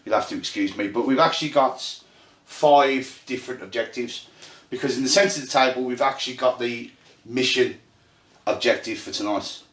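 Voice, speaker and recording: An Irish-born man with a Birmingham accent talks through a tabletop game setup, pausing often with ums. His voice sits in a middling register. The recording is an echoey room captured on a phone. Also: mid-range voice, a bit bright. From Ireland. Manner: chatty, halting with fillers, self-deprecating. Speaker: host and game runner. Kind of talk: casual rules explanation. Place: indoor room at a gaming table.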